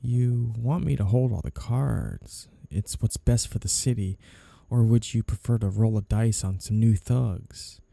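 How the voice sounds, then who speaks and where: Guy doing a smug flirty mob boss for an audio roleplay, really dark mellow voice, low, teasing and suave. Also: animated, gravelly, dry recording. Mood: persuasive. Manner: suave, teasing, drawn-out theatrical phrasing. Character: flirty, two-faced, smug male mafia boss. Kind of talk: scripted roleplay audio drama monologue, ASMR.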